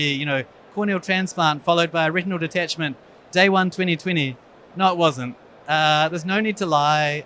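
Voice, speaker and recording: Man, new zealand accent, conference talk, fairly high voice, frank and wry, faint hum behind. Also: fairly bright tone. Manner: frank, self-deprecating, wry humor. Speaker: eye surgeon giving a conference talk. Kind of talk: conference presentation.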